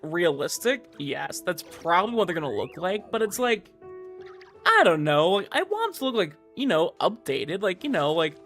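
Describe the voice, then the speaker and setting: A man streaming a game and chatting casually, rambling and excitable, repeating himself for emphasis. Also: sits high, moderate pace. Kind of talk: casual livestream chat about a game. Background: faint music.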